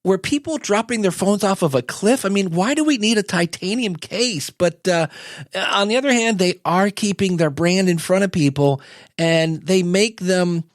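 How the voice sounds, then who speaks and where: Guy doing a laid-back modern messiah on a comedy podcast, high voice, folksy and kinda halting, dry sound. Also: dry recording, close to an everyday voice. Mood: casual explaining. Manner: hesitant, folksy, conversational. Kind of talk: casual comedic interview chat.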